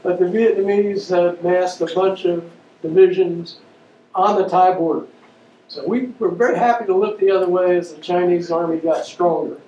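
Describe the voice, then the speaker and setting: Halting male lecturer, distant and echoey. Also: high voice, steady tone, darkish tone. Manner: halting, many fillers and restarts. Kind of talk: unscripted public lecture. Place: lecture room. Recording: dull, roomy, distant mic with faint noise.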